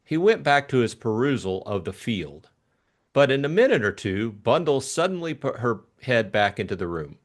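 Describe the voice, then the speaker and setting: Casting: male narrator for classic fiction, mid-pitched, very varied intonation, scripted storytelling. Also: big pitch swings, moderate pace. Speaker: audiobook narrator.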